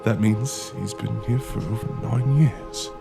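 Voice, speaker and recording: A man playing a troubled, stubborn investigator in a period horror audio drama, speaking in a measured, formal and serious way. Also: lowish voice, vocal fry. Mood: puzzled, troubled. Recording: music playing under the voice. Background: music.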